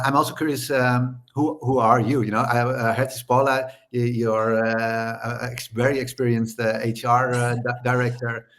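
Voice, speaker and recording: A man's voice: medium pitch, fairly bright tone. A man hosting a talk as co-founder, speaking with many hesitations and repeated starts. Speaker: co-founder and host.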